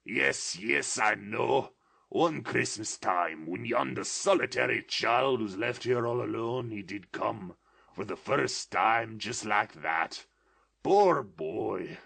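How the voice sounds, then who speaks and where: A man voicing an old male miser who exclaims in a drawn-out way, between laughing and crying. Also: lowish voice, lively intonation, gravelly, fairly bright tone, husky, voice pushed up, heavily acted. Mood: ecstatic. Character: old male miser, tearful, wildly excited.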